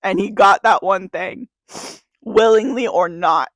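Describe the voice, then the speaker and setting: A 21-year-old woman is crying as she recites an earnest, confessional spoken-word poem to camera, circling back to the same refrain. Her voice has a gravelly, fry-laden edge. The sound is dry and close, with no room echo. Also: mid-range voice, unhurried. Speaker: YouTuber.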